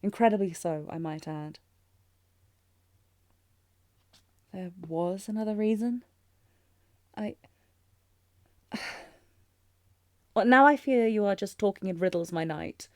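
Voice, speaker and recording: A woman's voice: mid-range voice, measured pace, long pauses, darkish tone, dry recording, natural voice. A woman playing a tender, anxious young princess in a romantic audio drama, speaking formally and hesitantly with sighs. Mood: subdued, probing. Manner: formal archaic diction, hesitant, breathy sighs. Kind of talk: scripted romantic fantasy audio roleplay, intimate.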